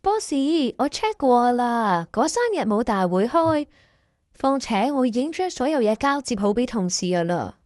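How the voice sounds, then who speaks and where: A woman's voice: fairly high pitch, sing-song, moderate pace, a little mellow, no echo, studio quality. A woman playing an earnest young employee in a radio drama, polite and pleading as she stands up for herself. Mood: polite, hesitant. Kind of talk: Cantonese workplace radio drama acting.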